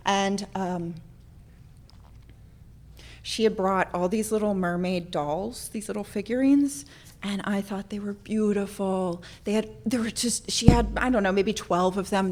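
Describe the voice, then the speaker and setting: A woman from central Florida, born in Norway, sharing a personal story informally with a group in a candid, self-deprecating way. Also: mid-range voice. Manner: candid, self-deprecating, animated when acting out moments. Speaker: speaker sharing a personal addiction recovery story.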